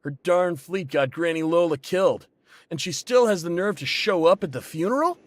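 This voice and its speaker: Hot-headed, high-pitched pirate man. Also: expressive, moderate pace, no echo, clean studio sound. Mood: scornful, angry. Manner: brash, scornful. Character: hot-headed, resentful male tavern-going pirate. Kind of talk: English anime game voice acting.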